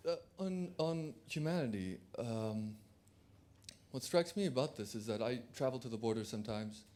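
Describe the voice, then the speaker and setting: A man's voice: mid-range voice, animated, moderate pace, darkish tone. A man from Turkey speaking from the floor at a conference, hesitant, with many fillers and restarts. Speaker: audience member.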